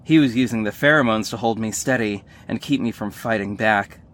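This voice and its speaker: A man's voice: on the low side, brisk, fairly bright tone, a little hiss or hum, close to an everyday voice. An actor playing a sardonic male superhero who narrates his own story in a scripted audio drama, wry and earnest. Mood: tense recounting.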